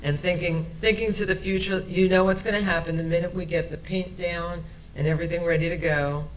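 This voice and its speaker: A woman's voice: fairly low pitch, fairly even intonation, brisk, a little hiss or hum, low-quality recording. A woman in her sixties giving a conference presentation at a podium in a hotel meeting room, talking conversationally with frequent fillers and restarts. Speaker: transit project presenter. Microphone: podium microphone.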